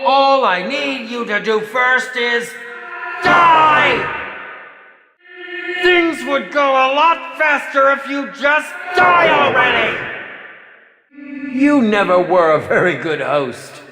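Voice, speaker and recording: A man's voice: extremely high pitch, expressive, takes it slowly, long pauses, full character voice. A man playing a pompous, maniacal horror film director in a video game, theatrically exasperated and melodramatic. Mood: gleeful menace. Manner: pompous, melodramatic, swooping from sly to shrieking. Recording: echoey hall reverb with music behind.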